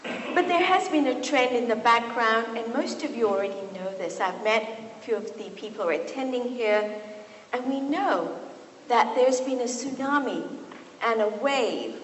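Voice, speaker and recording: Woman, middle-aged, from Kenya, public speaker, deliberate emphatic delivery, reverberant hall, faint background noise. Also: on the high side, expressive. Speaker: physician and author giving a talk. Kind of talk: public talk at a book event. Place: large resonant university hall.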